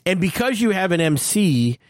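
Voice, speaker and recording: A middle-aged man hosts a podcast in a loose, rambling, explanatory way, his pitch bouncing up and down with energy. His voice sits slightly high for a man. It is close-miked and dry in a studio. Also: very animated, moderate pace, dry recording. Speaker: podcast host and comedian. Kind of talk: casual chat. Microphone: studio mic.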